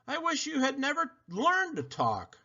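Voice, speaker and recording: A man's voice: very high-pitched, huge pitch swings, unhurried, sharp tone, dull audio, pitched above the performer's own voice, exaggerated voice. A man voicing exasperated family members with raised voices in a children's storybook. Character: exasperated family shouting at their pet. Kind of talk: children's storybook read-aloud.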